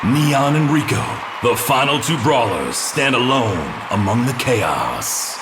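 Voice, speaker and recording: A man playing an excitable, dramatic sports commentator giving play-by-play in a cartoon. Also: medium pitch, takes it slowly, background noise. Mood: excited shouting.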